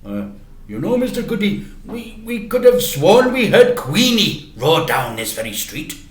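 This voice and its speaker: A man's voice: high voice, sing-song, unhurried, voice pushed up. A man voicing a jolly, kindly male newsagent in a children's storybook, theatrical, with hesitant little stammers. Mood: earnest. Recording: echoey room.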